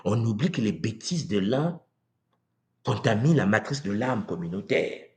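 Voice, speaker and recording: A man from Congo giving a live talk to viewers, animated and rhetorical, often asking "n'est-ce pas?" and acting out quoted voices. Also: fairly low pitch, normal speed. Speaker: speaker on spiritual tradition. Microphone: livestream mic.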